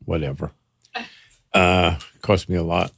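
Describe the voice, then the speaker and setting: A man's voice: low-pitched, little pitch movement, unhurried, gravelly, fairly bright tone, dry recording, clean studio sound. An older man, a guest and the host's father, chatting casually in a wry, teasing way and laughing often. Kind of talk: casual chat.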